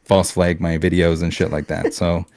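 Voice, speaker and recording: Brief: low-pitched Canadian man, blunt casual podcast co-host, dry close sound. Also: flat delivery, moderate pace, a little mellow, no room sound. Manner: blunt, heated ranting with swearing and restarts.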